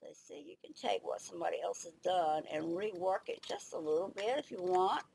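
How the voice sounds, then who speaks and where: Older woman host, slightly under the weather, raspy and gravelly, with a low voice and a chatty, unhurried tutorial manner. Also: sing-song, a little mellow, slight background noise. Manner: chatty, thinks aloud while working. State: slight head cold, raspy. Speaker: craft video host. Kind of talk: casual crafting tutorial.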